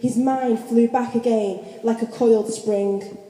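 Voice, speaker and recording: A woman's voice: a little high, fairly even intonation, a little slow, dark tone. A woman narrator reading a scripted story as part of a radio play. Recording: echoey hall with long reverb.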